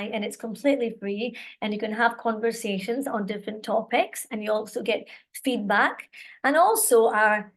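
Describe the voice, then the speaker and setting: A middle-aged Scottish woman presenting a live teacher webinar, chatty and encouraging, checking in often with her audience. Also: a little high. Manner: chatty, encouraging, lots of "all right" and "okay" check-ins.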